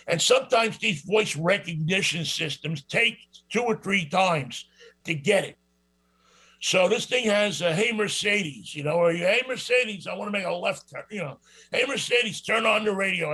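A man's voice: sits high, fairly bright tone, slightly rough. A middle-aged man with a New York accent, hosting a radio show and reviewing a car in a rambling, casual way with lots of fillers. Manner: rambling, many fillers and restarts.

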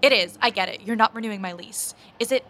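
A woman's voice: medium pitch, quick pace, sharp tone, natural voice. A woman playing a despondent, bitter bar owner in an audio drama. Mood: tense, bitter. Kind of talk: naturalistic audio drama acting.

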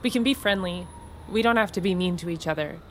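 An actress playing a breezy, quietly manipulative woman in a naturalistic audio drama, chatty, coy and insistent. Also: on the low side, fast, a little hiss or hum, lightly acted. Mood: placating.

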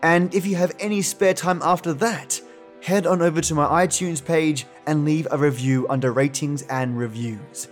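A man's voice: fairly high pitch, fairly even intonation, normal speed. A man hosting a podcast, giving casual, friendly commentary straight to his listeners. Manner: chatty, friendly address to listeners. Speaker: podcast host. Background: music.

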